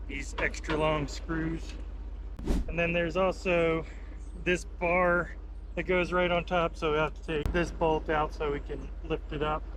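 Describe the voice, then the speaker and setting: A man's voice: on the high side, little pitch movement, a little slow. A man giving a casual, unscripted hands-on installation walkthrough, thinking aloud informally as he works. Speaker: vehicle installer presenting a how-to video. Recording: noisy, with clear background vehicle noise.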